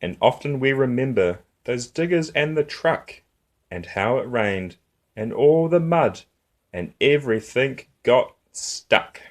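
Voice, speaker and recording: A man reading a rhyming picture book aloud for young children in an expressive, rhythmic way, as a storytime host. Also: mid-range voice, slow pace.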